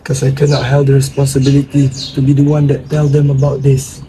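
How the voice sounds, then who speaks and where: A man plays a cautious, reluctant character in an amateur drama. His voice is very mellow and stays on a flat line, with faint noise around it. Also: medium pitch, a little fast, natural voice, rough recording. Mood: reluctant, watchful.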